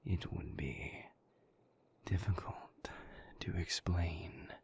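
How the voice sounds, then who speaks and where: A moody vampire boyfriend acted by a man murmurs in a very low, half-whispered voice. He is suave and guarded, choosing formal words and drawing them out very slowly, as in an intimate audio roleplay. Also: exaggerated voice. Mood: guarded, evasive. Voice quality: breathy, creaky near-whisper. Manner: languid, formal, measured phrasing.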